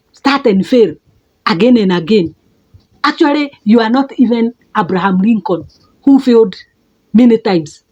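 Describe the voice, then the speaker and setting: A middle-aged Kenyan woman with a Kenyan accent, giving an emphatic motivational talk as a life coach, repeating words and leaping up in pitch for stress. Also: darkish tone, lo-fi sound. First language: a Kenyan mother tongue.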